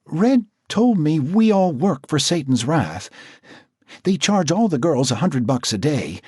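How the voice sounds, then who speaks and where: A man voicing a frightened teenage runaway girl who stammers and sobs in a high, trembling voice. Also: sing-song, measured pace, a little mellow, breathy edge, dry recording, clean studio sound, exaggerated voice. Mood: tearful.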